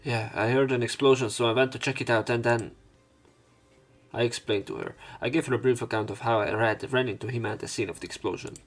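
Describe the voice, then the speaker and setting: A man with a mid-pitched voice hosts a visual novel let's play, reading the story aloud and dropping into casual, blunt remarks. Faint music plays behind him. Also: steady tone, brisk. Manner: casual, sweary interjections between read lines.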